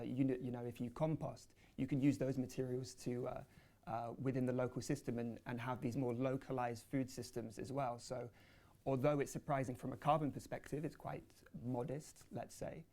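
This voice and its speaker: A man's voice: mid-range voice, dark tone. Hesitant man answering panel questions. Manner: hesitant, many fillers and restarts. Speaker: researcher presenting a study.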